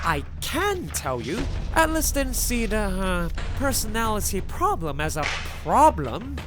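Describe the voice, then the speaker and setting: A woman's voice: medium pitch, big pitch swings, measured pace. A woman playing a chatty, wry storyteller recounting gangster exploits in a scripted audio drama. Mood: wry, reflective. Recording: music under the voice. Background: music.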